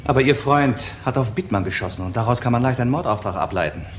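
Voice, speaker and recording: Actor as a fast-talking, stubborn police detective man. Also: medium pitch, expressive, close to an everyday voice. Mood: pressing, menacing. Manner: insistent, blunt. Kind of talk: German crime radio drama acting. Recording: noisy, roomy radio drama recording.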